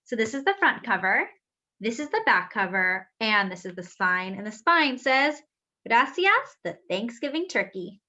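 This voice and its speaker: A woman reading a children's picture book aloud in an expressive, careful, teacherly way. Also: mid-range voice, moderate pace, muffled recording.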